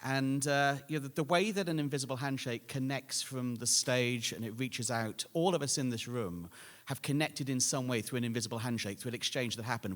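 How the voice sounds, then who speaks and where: A man giving an informal, reflective and rambling speech as an award presenter. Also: mid-range voice. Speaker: festival patron and award presenter. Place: large indoor hall at an awards event. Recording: echoey reverberant hall.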